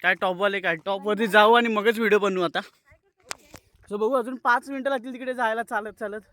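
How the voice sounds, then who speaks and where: A man's voice: high voice, fairly even intonation, brisk, bright, forceful tone. A young man talking casually to viewers as a vlogger, switching between languages and repeating himself. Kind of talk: casual vlog chat to viewers. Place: mostly outdoors in windy countryside.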